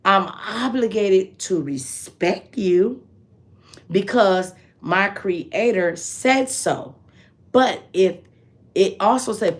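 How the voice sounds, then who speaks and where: A raspy-voiced woman hosting a live stream, talking bluntly and preachily. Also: mid-range voice. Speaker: livestream host.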